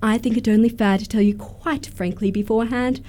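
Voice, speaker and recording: A woman's voice: fairly high pitch, steady tone, fairly quick, warm tone, close to an everyday voice. A woman playing a self-assured, romantic young Victorian lady in a radio comedy, with an affected, emphatic manner. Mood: gushing, self-assured. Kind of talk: stage-comedy radio acting.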